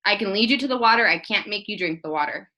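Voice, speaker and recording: A woman's voice: medium pitch, expressive, quick pace, pushed and bright, muffled recording. A woman in her thirties giving a casual team training talk, chatty and earnest, full of "like" and restarts, encouraging her listeners. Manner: chatty, filler-heavy, rambling.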